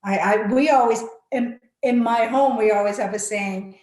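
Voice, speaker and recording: A woman's voice: on the high side, normal speed, a little mellow. A woman from Malaysia, giving an earnest, encouraging talk on a webinar panel, full of fillers and restarts. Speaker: panel speaker. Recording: echoey room.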